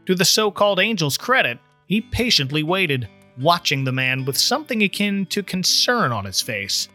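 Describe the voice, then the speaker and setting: A man reading a story aloud as scripted, expressive narration. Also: high-pitched, normal speed. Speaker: story reader. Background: soft plucked guitar music.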